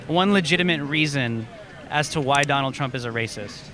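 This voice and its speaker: A man's voice: medium pitch, normal speed, a bit bright. Pushy male street interviewer. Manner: pushy, probing questions.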